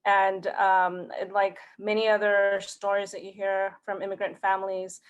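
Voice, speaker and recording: A woman from Mexico whose first language is Spanish, giving a conference career talk in an earnest, halting way with many fillers and restarts. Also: medium pitch, completely flat delivery. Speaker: conference speaker.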